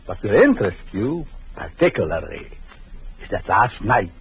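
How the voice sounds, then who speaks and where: An actor playing a cruel, vengeful doctor in an old radio drama, taunting in a slow, sinister way. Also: male voice, lowish voice, very animated, slight background noise. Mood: menacing. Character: vengeful jealous male doctor, gloating and cruel. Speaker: villain. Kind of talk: 1940s radio acting. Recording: muffled old radio recording.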